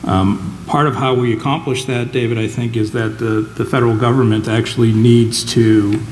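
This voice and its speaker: A man serving as a dean on a panel, speaking earnestly and haltingly into a panel microphone at a public event. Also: medium pitch, fairly even intonation, darkish tone, a little hiss or hum. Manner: earnest, halting, with many restarts. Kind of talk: panel discussion answer.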